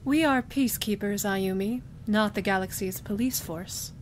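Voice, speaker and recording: Casting: actress as logical Vulcan female commander, precise and emotionless, sci-fi radio play, rumbly noise behind. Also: normal speed, lightly acted. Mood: cool, stern. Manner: formal, precise, unemotional diction. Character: composed, formal, logical Vulcan woman starship commander. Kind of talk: science fiction fan audio drama acting. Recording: clear background noise with low rumble.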